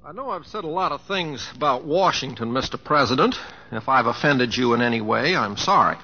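A man's voice: mid-range voice, animated, a little fast, sharp tone, slightly rough, heavily acted. A man acting as a humble, wry, self-deprecating Oklahoma humorist with folksy, homespun speech in an old radio drama. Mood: sheepish, humble. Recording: dull vintage radio recording.

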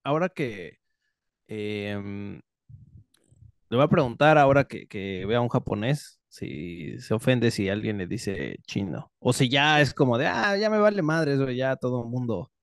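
A man's voice: mid-range voice, animated, measured pace, no room sound, clean studio sound. A Mexican-accented man hosting a casual podcast chat, speaking in a slangy, informal way and often interrupting himself. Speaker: podcast host.

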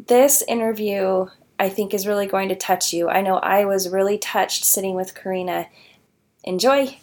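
A woman's voice: medium pitch, moderate pace. A woman hosting a podcast, introducing the episode and her guest with enthusiasm. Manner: warm, enthusiastic delivery. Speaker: podcast host. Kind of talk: scripted show introduction.

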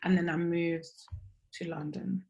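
A woman in her twenties from Angola, a podcast guest talking in an easygoing way, her voice trailing off softly at phrase ends. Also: on the low side, flat delivery, a little slow, vocal fry, lo-fi sound. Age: 20s. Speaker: guest, gym franchise owner. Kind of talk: relaxed interview conversation.

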